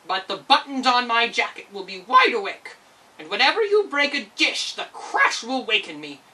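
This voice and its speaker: A woman's voice: a little high, sing-song, moderate pace, bright, forceful tone, distant mic, pitched above the performer's own voice, low-quality recording. A woman voicing a stern, threatening male giant who gives bossy orders in a storybook. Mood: sleepy threat.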